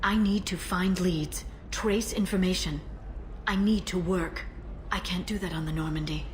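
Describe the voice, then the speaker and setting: An actress playing a composed, determined female information broker in a sci-fi video game, measured and earnest. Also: on the low side, lightly acted. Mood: earnest, guarded. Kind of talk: scripted video game dialogue. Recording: noisy ambient background.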